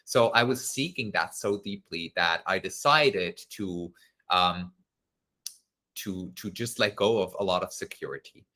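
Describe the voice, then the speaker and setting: Male host, forceful bright tone, relaxed and talkative on a live stream. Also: low voice, unhurried. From Switzerland. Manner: casual and chatty, laughing easily, with many fillers. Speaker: masterclass host. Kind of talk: live-streamed online class, reading viewer comments.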